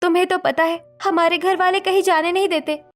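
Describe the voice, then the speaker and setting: A young college girl in a cartoon family drama, played by a woman. Her voice is very high and plaintive. She talks earnestly as a stifled daughter, with music playing underneath. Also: fairly even intonation, fairly quick. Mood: reluctant. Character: young college girls, stifled, timid yet resentful daughters.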